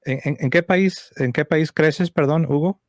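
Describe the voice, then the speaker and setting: A man hosting a religious testimony program, introducing and questioning a guest in a measured, courteous way with hesitant fillers. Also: fairly high pitch, no echo. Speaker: interviewer host.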